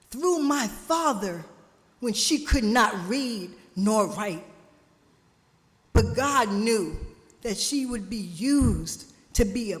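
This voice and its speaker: A woman preaching a sermon at a church service, slow and emphatic, drawing responses from the congregation, her family from Jamaica. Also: a little high. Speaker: guest speaker at a church service. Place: church sanctuary. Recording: church hall reverb.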